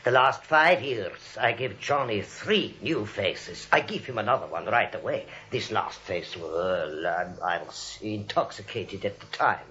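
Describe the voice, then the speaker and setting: Nervous, fawning crook doctor, deep-voiced. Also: male voice, animated, a little slow, hardly any pauses, pushed and bright. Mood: placating. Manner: anxious, wheedling, foreign-style broken English. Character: nervous, fawning crooked plastic surgeon, villain's sidekick. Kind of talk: 1940s radio play acting. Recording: dull old 1940s radio recording.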